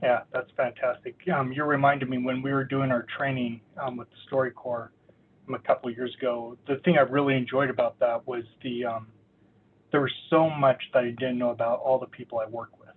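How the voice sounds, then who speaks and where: A man's voice: mid-range voice, level tone, fairly quick. A man hosting a podcast listening party, introducing episodes in a friendly way with many fillers. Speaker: event host. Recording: tinny phone-like call audio with faint noise.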